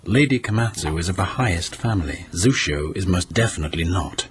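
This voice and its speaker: A snooty palace lord, real deep voice, bit of hiss. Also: male voice, lively intonation, a little fast. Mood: condescending. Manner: condescending, silky, snobbish. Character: haughty aristocratic palace lord, scornful. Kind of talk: radio drama acting.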